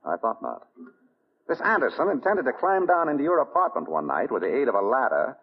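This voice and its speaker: A man playing a suave, wry gentleman detective who probes suspects in a 1940s radio crime drama. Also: mid-range voice, sing-song, a little fast, slightly rough. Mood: probing, controlled. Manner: wry, urbane, probing. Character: suave, wry gentleman sleuth running a murder investigation. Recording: muffled, dull 1940s radio recording.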